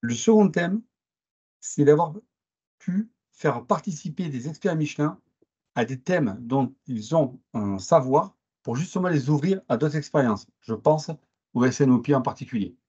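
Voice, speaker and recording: Husky man with a southern French accent. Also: a little high, lively intonation, darkish tone, muffled recording. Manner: measured, with hesitant fillers and restarts. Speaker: interviewed guest. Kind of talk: formal interview answers.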